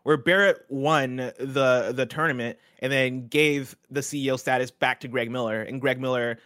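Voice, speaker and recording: A man's voice: mid-range voice, fairly even intonation, moderate pace, a bit bright, clean tone, no echo, clean studio sound. A man hosting a casual gaming news show, talking energetically and chattily with lots of fillers. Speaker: podcast host.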